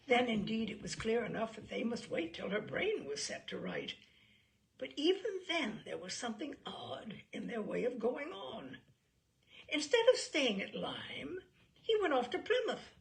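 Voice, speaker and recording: A woman's voice: a little high, gravelly, full character voice, low-quality recording. A woman voicing a bluff, hearty, chatty older male admiral in an audiobook, blustery and rambling with emphatic delivery. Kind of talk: audiobook character dialogue.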